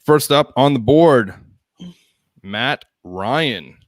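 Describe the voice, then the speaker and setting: A young man co-hosts a casual live sports stream, moving the talk along briskly and ribbing his partner. His voice sits at an everyday middle pitch and sounds close and dry, with no room around it. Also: lively intonation, no room sound. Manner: upbeat, brisk show-running, teasing. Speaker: co-host. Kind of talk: casual fantasy football chat.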